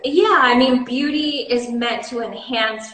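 A woman skincare expert and host, explaining in a relaxed, casual way during a demo chat. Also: fairly high pitch, unhurried, reverberant, a crowd in the background. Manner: relaxed, explaining with many fillers.

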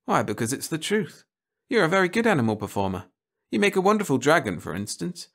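A man's voice: a little high, very animated, brisk, a bit bright, voice pushed up, full character voice. A man voicing a mischievous, mocking alien shapeshifter with a teasing manner in a story.

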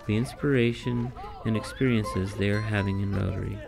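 A man's voice: on the low side, fairly even intonation, normal speed, gravelly. A man reading a scripted voice-over narration for a promotional video. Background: guitar music.

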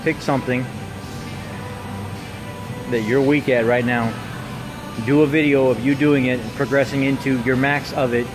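Guy in his 30s chatting to his phone at the gym, candid and hard on himself, average pitch, music going in the background. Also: steady tone, moderate pace, pauses often. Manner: candid, self-critical. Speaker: gym vlogger. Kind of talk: casual motivational chat to camera. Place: large indoor gym. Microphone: phone at arm's length. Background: loud music.

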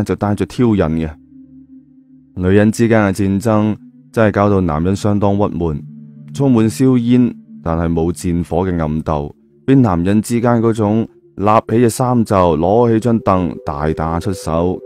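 Man, low pitch, dark warm tone, colloquial dramatic story narration. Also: moderate pace. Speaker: audiobook narrator. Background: music.

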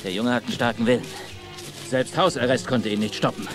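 A man's voice: mid-range voice, a little fast, over music, lightly acted. A man acting a worried, earnest father determined to find his son in a German audio drama. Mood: earnest, reassuring.

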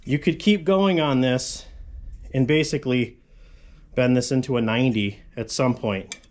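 A man's voice: mid-range voice, measured pace. A man in his forties, a fabrication channel host, casually explaining a metal shop tool in his home garage, rambling with frequent asides. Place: cluttered home garage workshop with tarp walls.

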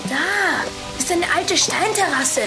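Radio play kid detective played by a woman, high and observant, slightly uneasy, over loud music. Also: animated, slow pace, exaggerated voice. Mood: watchful.

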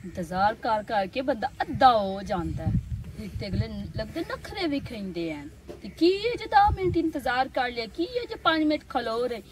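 A young woman with a rural Pakistani Punjabi accent, playing a bossy, sharp-tongued village woman scolding her suitor in a comic drama. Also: a little high, sing-song, moderate pace. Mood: impatient. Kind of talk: improvised comic drama dialogue. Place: outdoors by a brick wall and field. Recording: distant camera sound, loud outdoor noise.

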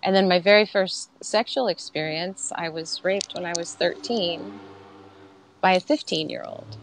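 Female guest, 40s, soft and candid, reflective delivery; a touch low for a woman, webcam-mic sound. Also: a little slow, background noise. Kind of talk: personal spiritual story. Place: home room, indoors.

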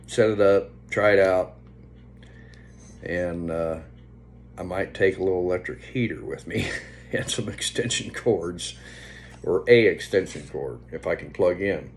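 A man in his fifties chatting casually to a phone at home, unhurried and meandering, often trailing off. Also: low voice. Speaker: vlogger. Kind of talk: casual rambling vlog chat. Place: indoors, small room at home. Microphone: phone at arm's length. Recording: faint background hum on phone recording.

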